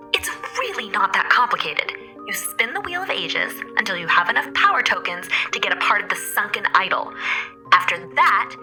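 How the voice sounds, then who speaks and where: An actress playing an excitable, competitive young woman in an audio drama, eager and emphatic, quick to defend herself. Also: medium pitch, close to an everyday voice, rough recording.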